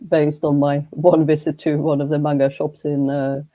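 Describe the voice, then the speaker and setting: A man speaking at a mid pitch in an even, flat tone. He talks haltingly, with many restarts and fillers. The sound is dull and lo-fi, and the room is dry. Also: no echo. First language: Swedish. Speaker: guest. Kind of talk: casual conversation.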